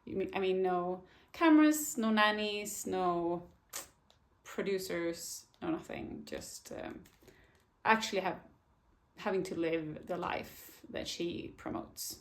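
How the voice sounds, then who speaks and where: A woman's voice: fairly low pitch, slow. A woman chatting casually to camera about her reading year, often hesitating and restarting her sentences. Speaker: book vlogger. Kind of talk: casual book talk to camera.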